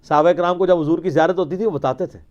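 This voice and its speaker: A man's voice: a little high, fairly even intonation, racing, a little mellow, dull audio. A man delivering an argumentative religious lecture in a mocking, rhetorical way, quoting narrations as he goes. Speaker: religious teacher answering questions.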